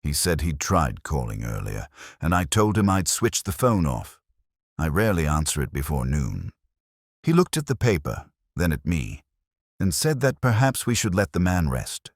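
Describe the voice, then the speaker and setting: A man playing a brooding, world-weary, literary police detective, narrating his story in a measured, solemn, wry manner. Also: extremely low pitch, huge pitch swings, dry recording, clean studio sound, full character voice. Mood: brooding, reflective. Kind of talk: scripted first-person detective story narration.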